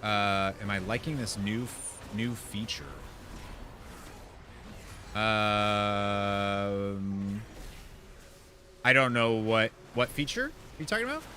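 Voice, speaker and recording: A man's voice: on the low side, expressive, takes it slowly, pauses often, bright, forceful tone, slight background noise. A man giving casual, rambling and enthusiastic gameplay commentary as he chats with his viewers. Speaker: video game streamer.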